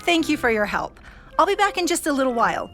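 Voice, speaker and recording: A woman's voice: high voice, big pitch swings, fast, a bit bright, soft background music. A woman playing a cheerful, doting mother in an audio drama, chatty and affectionate. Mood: delighted, chatty.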